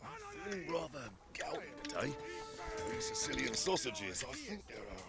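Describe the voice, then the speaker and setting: An actor playing a jovial, greedy, indolent Spartan commander in a theatrical video game style, chatty and self-satisfied. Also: male voice, mid-range voice, vocal fry, exaggerated voice. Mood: jovial, scheming. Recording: loud music behind.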